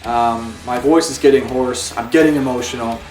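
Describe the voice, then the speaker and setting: A man's voice: mid-range voice, fairly even intonation, normal speed, a little mellow, recorded from a distance. Impassioned man reviewing a game, roomy echo. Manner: earnest and impassioned, with pleading appeals. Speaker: video game reviewer and channel host. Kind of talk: spoken video game review. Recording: roomy echo, away from the mic, faint music.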